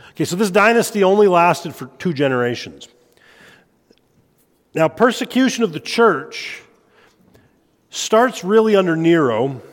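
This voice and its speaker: A man with a head cold giving an informal lecture at a midweek church meeting, relaxed and given to self-deprecating jokes. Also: fairly high pitch. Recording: long hall reverb.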